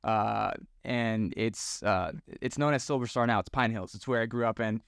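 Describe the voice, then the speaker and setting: A man from Florida, a podcast guest who tells stories in a relaxed, slangy way. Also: on the low side, moderate pace, gravelly, smooth, no room sound, clean studio sound. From Orlando, Florida, US. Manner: relaxed, slangy, talks with friendly bro energy. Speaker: podcast guest, tattoo shop owner. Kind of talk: casual storytelling chat.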